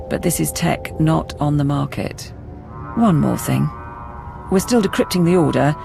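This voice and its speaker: Gravelly imperial officer, dry and brisk, briefing the team, music under it. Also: medium pitch. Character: dry, hard-nosed imperial military intelligence officer. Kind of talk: video game cutscene acting.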